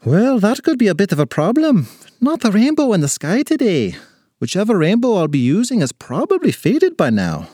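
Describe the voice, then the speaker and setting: A man's voice: high voice, huge pitch swings, moderate pace, mellow, slightly rough, dry recording, clean studio sound, pitched above the performer's own voice, full character voice. A man voicing a little forgetful, feisty leprechaun with an Irish accent in a storybook tale. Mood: musing. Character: little red-bearded male leprechaun, forgetful, feisty and chatty.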